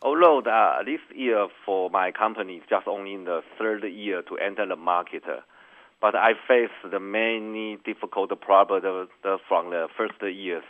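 Man, phone-like sound. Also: on the low side. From Taiwan. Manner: careful non-native English, measured and earnest. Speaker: company executive guest. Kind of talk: business interview answers. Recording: phone-like narrow band.

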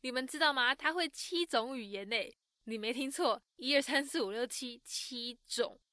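Peppy taiwanese woman host, bright higher voice, hyped recap. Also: moderate pace, no echo, clean studio sound. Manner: enthusiastic, exclaiming. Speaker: bilingual co-host summarizing. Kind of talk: lively narrated recap.

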